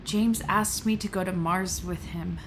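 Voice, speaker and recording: A woman's voice: on the low side, fairly even intonation, unhurried, background noise. An actress playing a conflicted, evasive wife and mother in a musical audio drama, speaking in a hesitant, dry, guarded way. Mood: conflicted, pleading.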